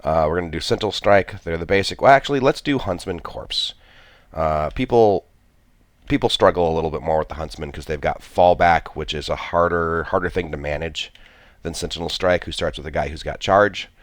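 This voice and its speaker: A man's voice: low voice, fairly quick, dry recording, studio quality. A man with a stuffy cold, commenting on a strategy game he plays, thinking aloud with many restarts. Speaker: gameplay commentator.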